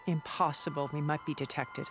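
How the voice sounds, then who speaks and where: An actress playing a cultured, secretive older woman in a video game, speaking in a measured, formal and articulate way, calculating yet wistful. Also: sits low, steady tone, music in the background. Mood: grave, firm. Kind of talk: dramatic video game voice acting.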